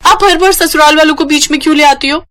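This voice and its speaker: A woman's voice: sits high, fast talker, fairly bright tone, voice pushed up. A woman plays a young bride in a cartoon moral tale. She is lazy and dramatic, grumbling to herself with whiny, self-pitying exclamations. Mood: exasperated, whiny. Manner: complaining, exclaiming, talks to herself. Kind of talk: Hindi moral-story cartoon voice acting.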